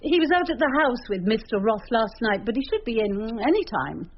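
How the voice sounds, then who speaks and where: Casting: courteous office receptionist, higher female voice, radio drama, dull sound. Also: expressive, a little fast, slight background noise. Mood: polite, apologetic. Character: polite, matter-of-fact female office receptionist.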